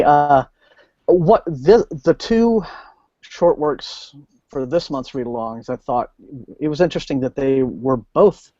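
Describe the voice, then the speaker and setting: Man, mid pitch, halting book-show host, rephrases often, dry close sound, muffled lo-fi call audio. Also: dark tone, no room sound. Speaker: host of a book discussion liveshow. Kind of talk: casual book discussion. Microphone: video call.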